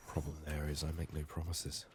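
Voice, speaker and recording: Male ASMR game streamer, ill and hoarse: rock-bottom pitch, flat delivery, subdued breathy murmur with whispering and long pauses. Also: noisy background. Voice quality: husky, raspy, breathy. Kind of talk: soft-spoken whispered ASMR game playthrough.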